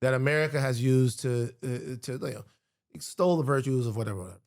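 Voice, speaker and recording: A man's voice: mid-range voice, quick pace, a little mellow. A man speaking as a guest in a casual political conversation, emphatic and building his points through repetition.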